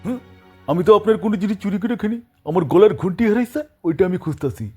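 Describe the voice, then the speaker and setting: A man's voice: high-pitched, expressive, racing, warm tone, husky, soft background music. A man with a Bangladeshi accent voicing a cheeky, defiant farm animal in a comic cartoon, arguing and repeating his taunts. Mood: indignant denial. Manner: argumentative, taunting, repeats phrases.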